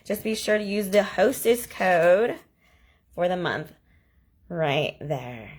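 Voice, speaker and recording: A woman's voice: fairly low pitch, expressive, takes it slowly. Chatty woman hosting a crafting channel. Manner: chatty and enthusiastic, gushing over cards. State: voice slightly hoarse from a lingering cough. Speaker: stamping demonstrator and channel host. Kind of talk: casual crafting video walkthrough.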